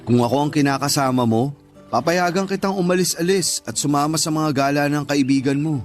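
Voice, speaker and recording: An actor playing a gentle, flattering and sympathetic male friend with a Filipino accent, in conversational radio drama style. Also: fairly high pitch, brisk, close to an everyday voice. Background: background music.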